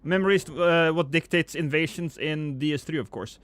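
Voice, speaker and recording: Rambling, profane male streamer in his thirties. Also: brisk. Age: 30s. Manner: rambling, self-mocking, profane; swings between loud excited bursts and low mumbles. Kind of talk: casual live-stream chat with viewers.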